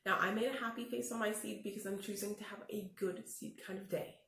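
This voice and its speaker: A woman's voice: medium pitch, fairly even intonation, a little fast, vocal fry, fairly bright tone. A woman teacher talking to young viewers in a children's lesson, explaining things simply, step by step. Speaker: teacher hosting children's read-alouds. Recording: echoey room, faint background noise.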